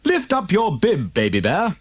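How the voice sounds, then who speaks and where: Storybook postman acted by a man: good-natured but wary, slightly husky, lively. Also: high-pitched, measured pace, no room sound, studio quality, voice pushed up, full character voice. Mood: amused. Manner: muttering, chuckling, wary. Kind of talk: children's storybook character reading.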